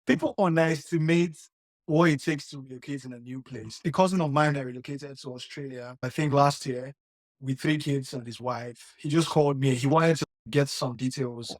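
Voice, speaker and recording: A young man from Nigeria co-hosts a casual podcast, speaking in an earnest, reflective way and leaning on "like" as he thinks. His voice sits at a middling pitch. The recording is dry and close, with no room sound. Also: normal speed, no echo. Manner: earnest, reflective, many "like" fillers. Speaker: podcast co-host. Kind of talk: casual chat.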